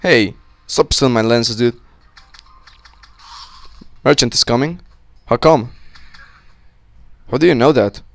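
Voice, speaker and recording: A young man with a slightly sick voice, giving casual, excitable commentary on a co-op game with friends on a close mic. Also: medium pitch, takes it slowly, vocal fry, long pauses. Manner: excitable, swearing, joking with friends. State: sick, voice a little off. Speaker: gameplay commentator. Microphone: close-up desk mic.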